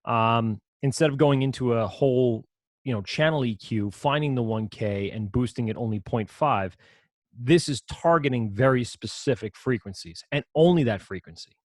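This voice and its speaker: Casting: man, low voice, casual rambling teacher walking through software, dry close sound. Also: normal speed, dry recording. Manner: casual, rambling, self-correcting explanations. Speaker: audio production teacher. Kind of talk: screen-share software lesson.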